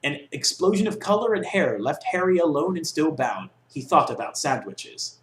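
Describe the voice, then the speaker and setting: A man's voice: fairly high pitch, very animated, normal speed, a bit bright. A young man reading a comic story aloud in a theatrical, mock-serious way. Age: young adult. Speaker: reader. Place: small dorm room with block walls, indoors. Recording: echoey bare room.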